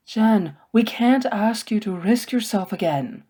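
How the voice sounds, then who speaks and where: A woman voicing a worried, protective male scholar in a storybook, with a slow, earnest, admonishing manner. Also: a little high, lively intonation, warm tone, husky, pitched above the performer's own voice. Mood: earnest pleading.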